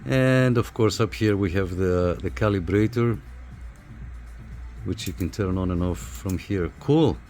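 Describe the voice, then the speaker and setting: Casting: male hobby reviewer, unhurried and informal, halting delivery, on the low side, faint music underneath. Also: a little mellow. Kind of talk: casual hands-on equipment review. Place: small apartment room.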